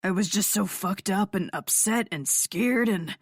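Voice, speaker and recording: A woman voicing a stubborn young man sick from withdrawal, speaking weakly in a low, hollow voice. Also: measured pace, breathy edge, no echo, studio quality.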